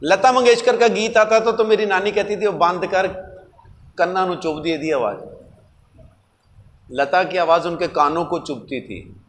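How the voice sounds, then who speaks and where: A man's voice: high voice, normal speed, pauses often. A man preaching a sermon in an emphatic, teaching manner, using rhetorical questions and repeating key words. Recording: long hall reverb.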